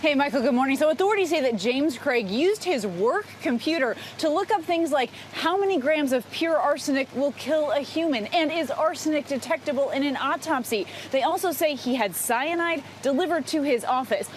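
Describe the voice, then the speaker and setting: High-voiced woman news reporter. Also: expressive, slight background noise. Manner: brisk, informative.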